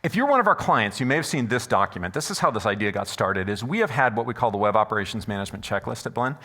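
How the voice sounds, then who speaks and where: Male conference presenter, loud and animated, quick delivery, bright forceful tone. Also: on the low side. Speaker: conference speaker. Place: conference room before an audience. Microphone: stage microphone.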